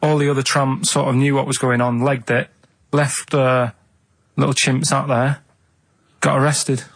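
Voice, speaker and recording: A man telling a comic story casually on a radio show, in a rambling, vague and halting way. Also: medium pitch, little pitch movement, dry recording.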